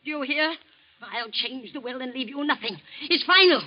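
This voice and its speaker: A woman's voice: sits high, lively intonation, moderate pace, lo-fi sound. An actress playing a rich, bad-tempered old aunt who scolds and commands her niece, in 1940s radio acting style. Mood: furious. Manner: sharp, scolding, imperious.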